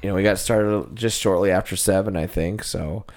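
A man's voice: low voice, moderate pace, vocal fry. A man, a wrestling coach, chatting casually with a voice gone scratchy after coaching a duel. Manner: relaxed, rambling with fillers. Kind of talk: casual chat.

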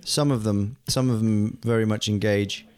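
A man's voice: on the low side, fairly even intonation, normal speed, a little mellow, smooth. A man in his forties, an actor from England whose first language is German, chatting casually as an interview guest with many fillers and restarts. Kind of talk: casual conversation.

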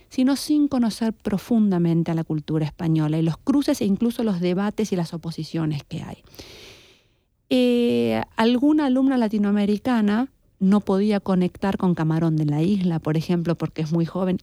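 A woman's voice: mid-range voice, mellow, no echo, studio quality. A woman with an Argentine accent, talking reflectively as a podcast host and lecturer, explaining things and addressing listeners directly. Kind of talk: reflective conversational commentary.

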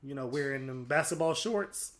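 A man's voice: mid-range voice, normal speed. A man co-hosting a casual podcast chat, playful and candid, laughing easily. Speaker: podcast co-host.

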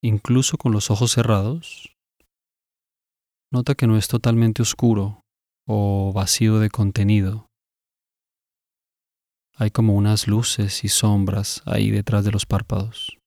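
Slow, low-pitched man guiding meditation. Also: no room sound, studio quality. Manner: calm, gentle instructing with long pauses. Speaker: meditation guide. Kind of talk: guided meditation.

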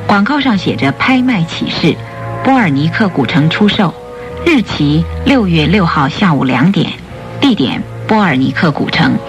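A woman's voice: lowish voice, expressive, normal speed. A woman narrating a radio drama in a scripted storytelling style. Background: music.

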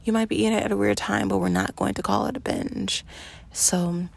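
A woman in her twenties hosting a podcast, talking in an earnest, reflective monologue with slow pauses and fillers. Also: medium pitch, fairly even intonation, vocal fry. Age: 20s. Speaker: podcast host.

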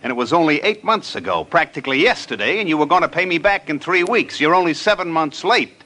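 An actor playing a sarcastic, aggrieved moneylender full of wiseguy slang in a 1950s radio crime drama. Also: male voice, a little high, expressive, fast talker, pushed and bright. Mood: aggrieved, mocking. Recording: slightly noisy old radio recording.

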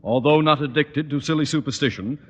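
A man's voice: a little high, fairly quick, fairly bright tone. An actor playing a pompous, irritable middle-aged father, blustery and wordy, in old radio comedy style. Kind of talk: 1940s-50s radio comedy acting. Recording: old radio recording, reverberant, faint noise.

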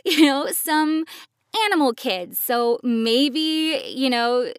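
A woman's voice: high voice, expressive, slow pace, smooth, no echo, studio quality. A woman who co-hosts a podcast as a professional voice actor, explaining things in a conversational style.

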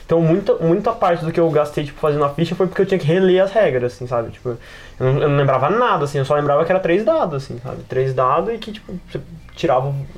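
Fast-talking Brazilian man, fairly high voice. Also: lively intonation. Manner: informal and rambling, heavy on filler words. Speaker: podcast panelist. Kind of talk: casual podcast discussion of tabletop games.

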